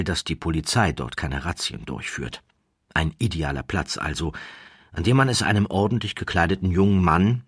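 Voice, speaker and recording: A man reading a literary story as a narrator, measured, expressive and slightly wry. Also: low voice, husky, no room sound, clean studio sound. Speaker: audiobook narrator.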